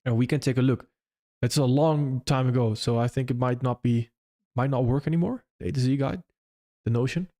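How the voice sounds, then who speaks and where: Young non-native English-speaking man, live-stream host answering chat, loose and rambling, mid-pitched voice. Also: fairly quick, warm tone. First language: not English. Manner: loose and conversational, frequent restarts and "if that makes sense".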